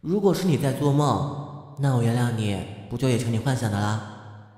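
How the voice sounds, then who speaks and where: An actor playing a playful yet wounded, proud young man in an expressive audio drama style. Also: medium pitch, normal speed, slightly rough, no echo, studio quality. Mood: tender. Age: young adult. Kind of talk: Chinese audio drama acting.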